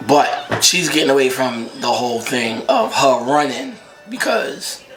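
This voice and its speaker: Male co-host, American, average pitch, casual and teasing with strong emphasis. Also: sing-song, unhurried, music in the background, low-quality recording. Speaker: co-host, boyfriend joining the story. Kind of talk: casual chat.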